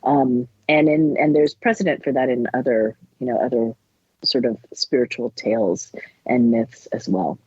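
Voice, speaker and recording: A woman's voice: a bass voice, lively intonation, moderate pace, a little mellow, slightly rough, no room sound, muffled recording. A woman author speaking as an interview guest, explaining things in a scholarly but colloquial way. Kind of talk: interview conversation on spiritual folklore.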